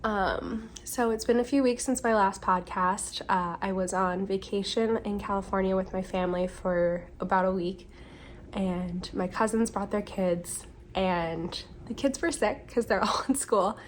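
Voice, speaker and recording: A woman's voice: mid-range voice, a little slow, a little hiss or hum. Chatty young woman with a cold. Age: 20s. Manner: chatty, rambling, with frequent ums and self-corrections. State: slightly congested from a cold. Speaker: podcast host. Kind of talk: casual chat. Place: home during a windy day.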